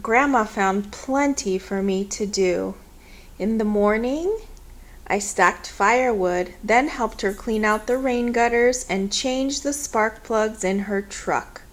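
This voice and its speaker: A woman reading a children's story aloud in an expressive, measured storytelling manner. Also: medium pitch. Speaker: storybook reader.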